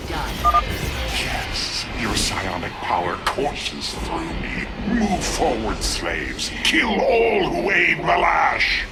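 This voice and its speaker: An actor playing a cruel, menacing alien zealot warlord in a video game, with a sinister, imperious, drawn-out delivery. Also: male voice, fairly high pitch, huge pitch swings, gravelly, loud music behind the voice, heavily acted. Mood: commanding fury.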